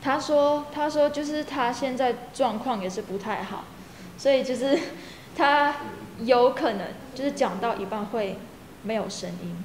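A woman working as interpreter, replying hesitantly in casual chat. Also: high voice. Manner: slow, hesitant, short replies. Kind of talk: casual chat, switching between English and Chinese. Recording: echoey room with faint noise.

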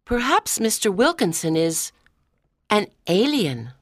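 A curious, imaginative schoolgirl in her teens, played by a woman narrator. She is excitable, with lively, swinging intonation. The audiobook sound is dry and close. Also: medium pitch, unhurried, no echo. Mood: excited, suspicious. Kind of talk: audiobook dialogue.

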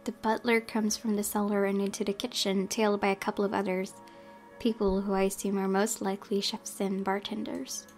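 Expressive woman doing a let's play. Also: mid-range voice, brisk. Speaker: gaming video host. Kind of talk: let's play, reading game text aloud with reactions. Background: background game music.

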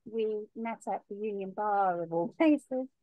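A woman's voice: mid-range voice, unhurried, no room sound, narrow, tinny audio, lightly acted. A woman reading the part of a nervous, giggly young woman who tells her parents about her engagement, in a read-through over a video call. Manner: hesitant, giggly.

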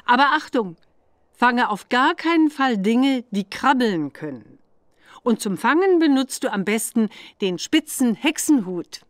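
A woman playing an encouraging adult witch mother who gives clear instructions in a children's computer game. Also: fairly high pitch, sing-song, unhurried, no echo, studio quality. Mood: encouraging, instructive. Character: Bibi's mother, kindly adult witch examiner.

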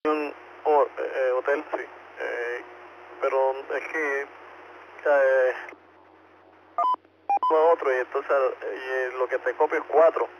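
A man's voice: mid-range voice, fairly even intonation, slow pace, long pauses. Male radio net controller, Puerto Rican accent, courteous, mid-range voice over a lo-fi, static-laden two-way transmission. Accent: Puerto Rican Spanish. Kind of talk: radio net check-in exchange. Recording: muffled ham radio transmission with clear static.

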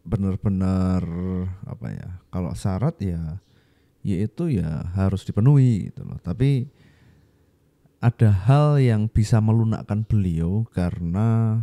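Dark-voiced, unhurried man. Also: on the low side, dry recording. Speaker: reaction video host. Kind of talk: casual commentary on a video. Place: small home studio indoors. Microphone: close boom-arm microphone, headphones.

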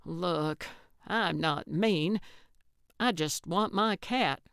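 A woman's voice: fairly low pitch, drawn-out words, gravelly, a bit bright, smooth, dry recording, studio quality, heavily acted. A woman voicing a brusque, cranky older woman in an audiobook, snappish and blunt but well-meaning. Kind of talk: audiobook character acting.

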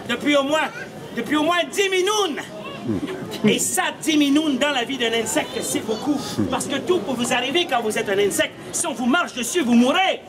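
A man, telling comic stories theatrically and mock-seriously as a street puppeteer. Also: extremely high pitch. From Canada. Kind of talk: comic storytelling to a live audience. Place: outdoor cobbled street by a stone wall. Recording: outdoor live show, noisy crowd.

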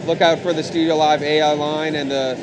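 A man's voice: fairly high pitch, flat delivery, brisk, background noise. A man in his thirties presenting products informally as a company representative, in an explanatory, matter-of-fact way. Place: large indoor trade show hall, at a booth. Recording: background music and trade show floor bustle.

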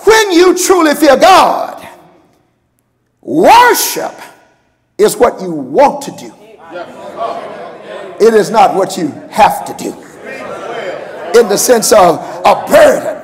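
Older male preacher. Very high, gravelly delivery, impassioned and emphatic, in a reverberant church hall. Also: big pitch swings, takes it slowly, long pauses, some chatter behind. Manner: impassioned, emphatic, with repeated calls to the congregation. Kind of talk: sermon. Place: large church sanctuary, at a pulpit.

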